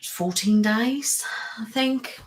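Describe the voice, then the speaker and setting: A woman in her thirties hosts a relaxed live stream, talking in a loose, rambling way. She reads out viewers' comments and answers them as she goes. She sits close to the mic. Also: on the high side, drawn-out words. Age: 30s. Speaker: ASMR channel host. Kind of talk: casual live-stream chat.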